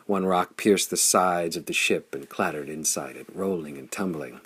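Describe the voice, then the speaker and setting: A man's voice: sits low, breathy edge. A man reading pulp adventure fiction as an audiobook narrator, in a dramatic scripted style.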